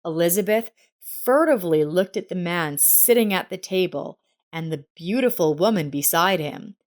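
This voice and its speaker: A woman's voice: fairly low pitch, animated, normal speed. A woman narrator reading a scripted story.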